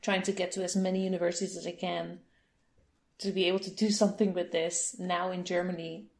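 A woman's voice: lowish voice, little pitch movement, fairly quick, darkish tone. A young adult woman, interviewing in a chatty way and laughing often. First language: Dutch. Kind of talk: casual conversation.

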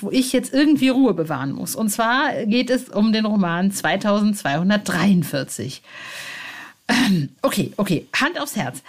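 A woman in her forties hosting a fan podcast, informal and excitable, speaking directly to listeners. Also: mid-range voice. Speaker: podcast host. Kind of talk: chatty fan podcast presenting.

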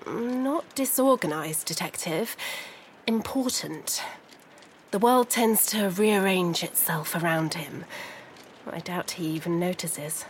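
An actress playing a composed, proud industrialist's wife in a noir audio drama, wry and precise. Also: female voice, fairly low pitch, slow pace, a little hiss or hum. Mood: composed, insistent.

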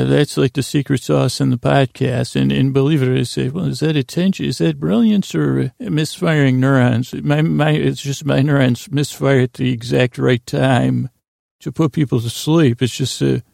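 A man hosting a podcast, rambling in a halting, self-deprecating, whimsical monologue that keeps wandering off topic, with a gravelly, creaky voice. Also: mid-range voice, flat delivery, a little mellow, no room sound, clean studio sound. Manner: meandering, halting, goes off topic mid-sentence. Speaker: podcast host.

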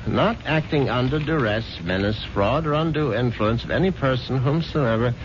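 A man's voice: mid-range voice, moderate pace, a little hiss or hum, natural voice. An actor playing a skeptical, easily exasperated husband of a rich woman in a 1970s radio drama, with a sardonic, sputtering delivery. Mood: wry, teasing. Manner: sardonic, sputtering when incredulous. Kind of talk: 1970s radio drama acting, partly first-person narration. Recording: dull 1970s radio recording, music behind.

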